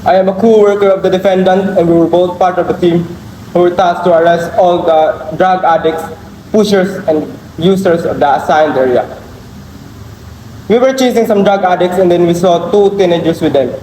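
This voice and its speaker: A man's voice: high voice, moderate pace, mellow, natural voice. A young man with a Filipino accent playing a formal, firm police witness in an amateur courtroom drama, recorded in an echoey, noisy hall. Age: young adult. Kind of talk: amateur stage courtroom drama, scripted delivery.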